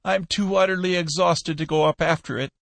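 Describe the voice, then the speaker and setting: A man's voice: fairly even intonation, fairly quick, vocal fry, fairly bright tone, dry recording. A man voicing an exhausted, desperate man who pleads frantically and then rages. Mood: frantic pleading. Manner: frantic, pleading, high-strung.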